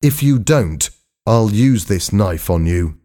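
A man voicing a bold, anxious young crewman in an audiobook story, urgent and forceful. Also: lowish voice, expressive, takes it slowly. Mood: threatening.

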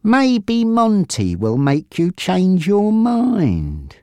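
A narrator voicing a menacing, taunting male gangster boss in an audiobook story, with a threatening, drawn-out delivery. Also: mid-range voice, huge pitch swings, dark tone, dry recording, pitched above the performer's own voice, heavily acted.

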